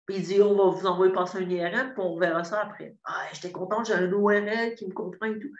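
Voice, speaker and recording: Québécois woman, fifties, telling her own story haltingly and sincerely; roomy, dull recording. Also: medium pitch, fairly even intonation. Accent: Québécois French. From Quebec. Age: 50s. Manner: hesitant, many fillers and restarts, earnest. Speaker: program client giving a testimonial. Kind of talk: candid personal testimony. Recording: roomy echo, dull lo-fi sound.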